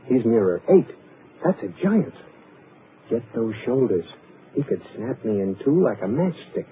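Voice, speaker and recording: An actor playing a lightly joking, reassuring husband in a 1940s radio drama. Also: male voice, medium pitch, sing-song, measured pace. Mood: wry. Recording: tinny, hissy 1940s radio broadcast.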